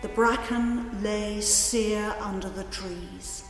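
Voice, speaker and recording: Very slow woman reciting in a hall. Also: medium pitch, steady tone. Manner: measured, deliberate phrasing. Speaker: narrator reciting literary prose in a cantata. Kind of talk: slow dramatic literary recitation. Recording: reverberant hall, music behind.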